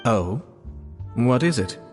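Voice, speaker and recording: An actor playing a calm, diplomatic young nobleman in a video game, formal and measured. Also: medium pitch, faint music behind. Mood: calm, probing.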